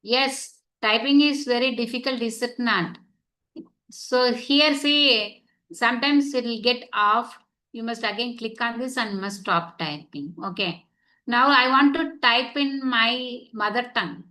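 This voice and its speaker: Bright-voiced woman teaching online. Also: on the high side, unhurried. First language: Tamil. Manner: explains step by step, checks if students follow. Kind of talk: online class demonstration. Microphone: computer mic on video call.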